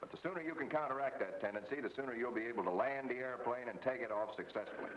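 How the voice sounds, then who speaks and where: An American man narrates like a 1940s military training instructor. His delivery is measured and didactic, with clear articulation at a medium pitch. The old soundtrack is very noisy and dull, with a roomy echo. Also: far from the mic. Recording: muffled, noisy, roomy vintage film soundtrack.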